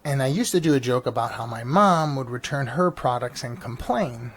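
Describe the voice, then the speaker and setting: A man's voice: mid-range voice, lively intonation, moderate pace. A man from New York, a stand-up comedian hosting his own vlog podcast, rambling casually with lots of restarts and fillers. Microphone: janky mic. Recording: faint engine noise behind. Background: engine noise.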